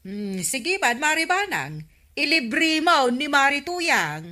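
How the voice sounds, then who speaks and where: A cautioning, nagging village neighbour with a Filipino accent. Her voice is a little high and forceful, pitched for a comedy radio drama. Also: sing-song, slow pace, exaggerated voice.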